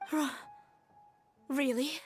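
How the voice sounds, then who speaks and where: An earnest young female student in an anime game. Her voice is fairly high, sensitive and emotional yet polite, with faint music underneath. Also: steady tone, drawn-out words, no echo. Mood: subdued, grateful. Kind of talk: anime video game acting.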